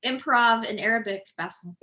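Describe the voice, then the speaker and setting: A woman with a bright, crisp tone chats after an improv show. She sounds friendly and appreciative, speaking over a video call. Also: a little high, moderate pace, slight background noise, poor audio. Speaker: improv performer. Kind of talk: casual post-show chat.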